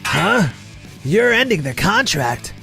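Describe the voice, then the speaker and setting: A man's voice: high-pitched, sing-song, slow, gravelly, sharp tone, soft background music, heavily acted. A man voicing an arrogant, defensive younger brother who works as a sales manager in a manga drama dub. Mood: aggrieved. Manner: indignant, defensive.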